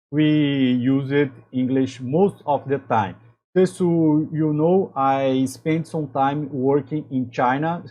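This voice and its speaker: A man from Brazil, a native Portuguese speaker with a Brazilian accent, giving career advice to camera as a chemical engineer and channel host, in halting English with frequent restarts. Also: mid-range voice, mellow, dull audio. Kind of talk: talking-to-camera advice video.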